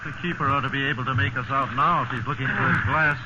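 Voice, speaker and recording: An actor playing a steady, fatherly sea captain in a 1950s radio drama, calm and commanding. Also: medium pitch, fast talker, lightly acted. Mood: steady, encouraging. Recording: muffled, very noisy old radio recording.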